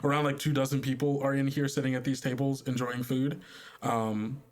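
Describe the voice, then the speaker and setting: Male game master: average pitch, casual improvised narration, amused, rambling, repetitive. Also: flat delivery, fairly quick.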